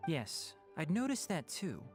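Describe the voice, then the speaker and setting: A man's voice: on the high side, wildly swooping pitch, measured pace, faint music behind. A man playing a long-suffering, caring young guardian in a video game, articulate and pointed. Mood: concerned, pointed. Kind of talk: scripted video game dialogue.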